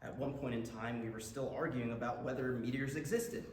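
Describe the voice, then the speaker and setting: A man's voice: mid-range voice, steady tone, fairly quick, darkish tone, natural voice. A man acting an enthusiastic young astronomy buff in a staged play reading, chatty and explanatory. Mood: wonder. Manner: explanatory, chatty, stumbles on words. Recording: echoey room with background noise.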